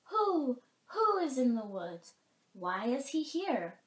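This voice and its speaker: A solemn, questioning owl from a children's storybook, played by a woman. It hoots in a high, swooping voice, slowly stretching its repeated question words in an echoing room. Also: big pitch swings, exaggerated voice. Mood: wondering, concerned. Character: solemn, inquisitive owl forever asking questions. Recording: roomy, echoing space.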